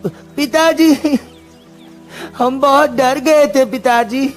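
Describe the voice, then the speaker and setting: A scared little prince, voiced by a man in a cartoon tale. He pleads slowly in a very high voice, with music behind. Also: fairly even intonation, heavily acted. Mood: scared, pleading. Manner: slow, pleading. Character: frightened young boy prince, pleading.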